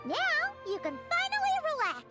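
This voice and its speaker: A tiny fairy companion in a fantasy game. The voice is extremely high, squeaky and childlike, bubbly and swooping, with loud music behind it. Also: female voice, wildly swooping pitch, moderate pace, exaggerated voice. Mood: chirpy. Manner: bubbly, refers to herself in third person. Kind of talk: video game voice acting. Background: prominent game music.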